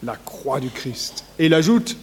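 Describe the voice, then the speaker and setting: A man, a pastor, giving an earnest, measured sermon to a congregation. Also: medium pitch, sing-song, darkish tone.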